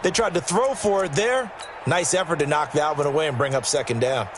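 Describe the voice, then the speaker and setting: A man's voice: a little high, fairly quick, noisy background, close to an everyday voice. An American man giving conversational color commentary and analysis for a football video game.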